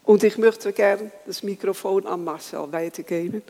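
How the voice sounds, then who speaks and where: A worship leader who welcomes the congregation, prays and reads scripture in a slow, halting way, with small grammar slips. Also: medium pitch, steady tone, gravelly, warm tone, long reverb tail. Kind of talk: church welcome, prayer and scripture reading.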